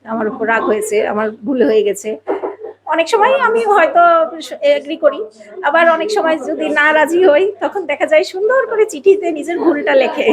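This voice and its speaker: A woman's voice: high voice, animated, fairly quick, crowd noise. A woman talking casually and emphatically as a podcast guest, repeating phrases to make her point. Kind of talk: casual personal conversation.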